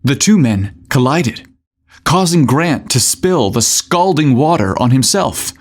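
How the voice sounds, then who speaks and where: A man's voice: mid-range voice, lively intonation, unhurried, breathy edge. A man hosting a podcast and narrating a scripted story in a theatrical, suggestive way.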